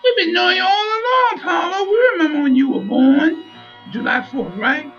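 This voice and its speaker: A man voicing a chatty, excitable spirit dolphin in a squeaky high voice, for a story. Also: gravelly, muffled recording, soft background music, heavily acted. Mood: eager. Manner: excitable, halting, casual.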